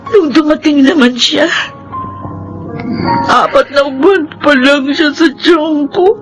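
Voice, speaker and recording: High-voiced, tender Filipino wife. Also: female voice, takes it slowly. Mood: grieving. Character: grieving, tender married woman who is expecting a baby. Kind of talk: radio drama acting. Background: music.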